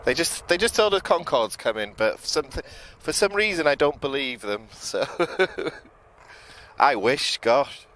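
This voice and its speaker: A man's voice: a little high, measured pace. British guy doing live commentary outside at night, chill and chatty, lots of ums, faint traffic noise behind. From United Kingdom. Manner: relaxed and chatty, with frequent ums and self-corrections. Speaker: live plane-spotting commentator. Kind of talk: live-stream commentary, reading out viewer chat. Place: outdoors at an airport at night.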